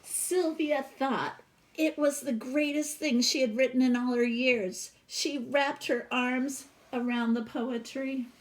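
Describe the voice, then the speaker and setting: A woman with a slightly high voice reads a storybook aloud at a slow, unhurried pace. She is expressive and teacherly, breaking off to put questions to the children listening. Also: rough recording. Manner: animated, pausing to ask listeners questions. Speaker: teacher reading a picture book aloud.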